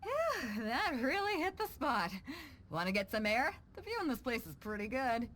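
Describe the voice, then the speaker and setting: A woman's voice: high-pitched, very animated, moderate pace, fairly bright tone, a little hiss or hum. A woman playing a bold, easygoing sea captain in a video game, confident and plain-spoken as she takes charge. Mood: cheerful.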